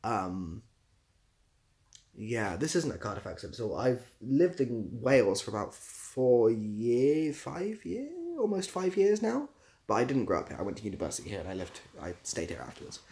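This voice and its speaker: A man's voice: medium pitch, very animated, moderate pace, darkish tone. A young man with a southwest English accent, hosting a casual livestream in a rambling, self-deprecating way. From southwest England, near Bath. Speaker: livestream host and YouTuber.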